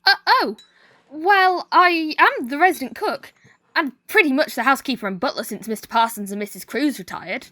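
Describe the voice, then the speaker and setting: A woman's voice: high voice, lively intonation, normal speed, bright, forceful tone. A woman playing a motherly, nosy household cook in an amateur comedy murder mystery. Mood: flustered, boastful.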